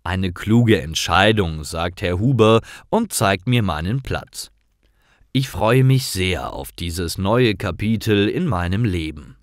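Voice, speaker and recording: A man reading scripted stories for language learners as narrator, in simple, clearly phrased storytelling. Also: fairly low pitch, animated, slow pace, no echo, studio quality.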